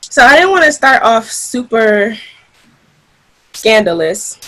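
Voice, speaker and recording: A woman's voice: on the high side, sing-song, takes it slowly, sharp tone, poor audio. Young woman hosting a casual discussion. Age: 20s. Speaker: discussion host.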